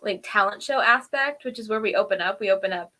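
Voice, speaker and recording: A woman's voice: medium pitch, fast, sharp tone. A woman co-hosting a review show in casual chat, telling stories in an informal, self-deprecating way. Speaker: podcast co-host reviewing TV episodes.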